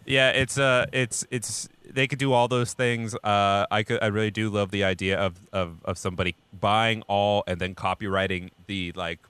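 Bright-voiced man riffing, dry close sound. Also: lowish voice, fairly even intonation, moderate pace, hardly any pauses, smooth, dry recording. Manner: riffs in mock quoted voices. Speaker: podcast co-host. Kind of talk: casual comedic chat.

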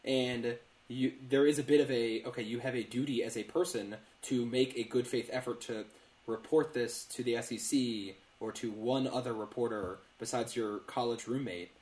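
A man co-hosting a podcast, explaining things casually in a halting but emphatic way, with stammered repeats. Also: mid-range voice, steady tone, darkish tone. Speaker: podcast co-host. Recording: roomy, echoey sound.

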